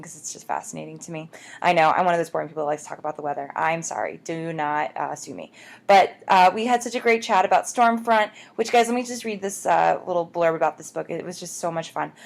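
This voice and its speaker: A woman's voice: fairly low pitch, gravelly. A woman hosting a book podcast, talking to listeners in a bubbly, chatty way and calling them guys. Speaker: podcast host. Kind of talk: upbeat podcast intro and promo.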